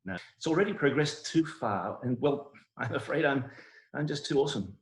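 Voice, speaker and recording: A man acting as a smug doctor in a comic stage reading. He is mock-solemn and clinical, hesitating as he goes, in a medium-pitched voice. The sound is echoey, from a room on a video call. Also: animated, normal speed, natural voice. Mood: smug, patronizing. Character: smug male doctor, gravely sympathetic yet shameless.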